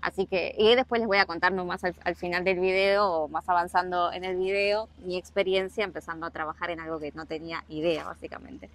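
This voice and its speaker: A woman's voice: on the low side, steady tone, brisk, few pauses, fairly bright tone. A young Argentine woman with a Rioplatense accent, chatting casually to camera outdoors about working abroad, explaining with many asides. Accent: Rioplatense Spanish. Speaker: travel vlogger. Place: outdoors on rocks by the sea, then a harbor.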